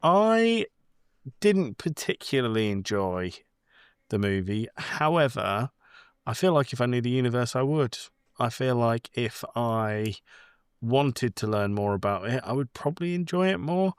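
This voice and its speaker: A man's voice: mid-range voice, lively intonation, unhurried, clear, resonant tone, no room sound, studio quality. A man co-hosting a podcast in casual chat, playful and opinionated as he rambles along. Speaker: podcast co-host.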